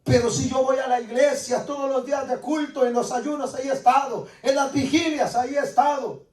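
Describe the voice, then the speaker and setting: A man's voice: very high-pitched, fairly even intonation, brisk, darkish tone. A man preaching a sermon as dramatic personal testimony, repeating his phrases with insistent emphasis. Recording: echoey room, distant microphone.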